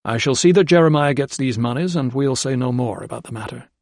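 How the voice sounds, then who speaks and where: Guy doing a hearty old doc, bit higher than his own voice, gravelly, dry. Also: fairly quick, a little mellow, no room sound, lightly acted.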